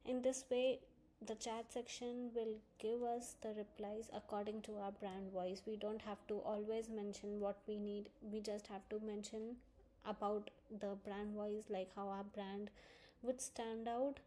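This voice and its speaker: A woman narrates a screen-recorded software tutorial in a soft, mellow tone. Her pitch sits in an average range for a woman, and she explains things step by step as an instructor would. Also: flat delivery, unhurried, poor audio.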